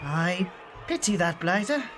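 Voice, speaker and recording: A man's voice: sits high, sing-song, over a noisy crowd. A voice actor, a man, playing a solemn, loyal exiled shapeshifter who speaks formally and earnestly in an audio drama. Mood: eager. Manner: formal, measured, earnest speech. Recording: clear background noise and ambience.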